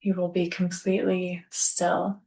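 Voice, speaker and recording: A woman leading a guided yoga nidra relaxation as instructor, speaking calmly in short, deliberate phrases with pauses. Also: fairly low pitch, gravelly, low-quality recording.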